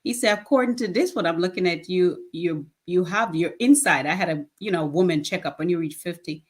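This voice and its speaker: Woman in her fifties, low-pitched voice, plain-spoken and earnest, casual interview guest, quick to laugh. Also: breathy edge. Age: 50s. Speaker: guest, nonprofit founder.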